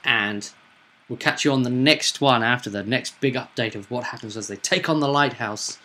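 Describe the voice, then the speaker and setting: A man's voice: mid-range voice, moderate pace, sharp tone. A man hosting as game master, chatting casually about a tabletop roleplaying game and often repeating himself with tag questions.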